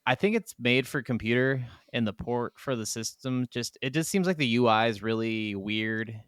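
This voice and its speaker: A man's voice: medium pitch, normal speed, fairly bright tone, smooth, dry recording, clean studio sound. A man co-hosting a gaming podcast, chatting in an easygoing, slangy way. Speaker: podcast co-host. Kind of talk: casual gaming podcast chat.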